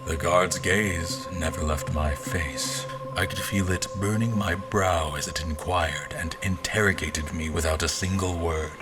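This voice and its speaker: An actor playing a wry royal officer, giving measured, literary first-person narration of his journey in an audio drama. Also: low-pitched, fairly even intonation. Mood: wary. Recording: music under the narration.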